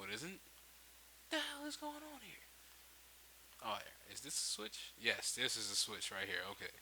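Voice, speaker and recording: Bright, forceful low-voiced man, casual tutorial. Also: poor audio. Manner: informal, thinking aloud, backtracking mid-explanation. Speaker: tutorial host. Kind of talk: casual unscripted software tutorial walkthrough. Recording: hissy home microphone, noticeable background noise.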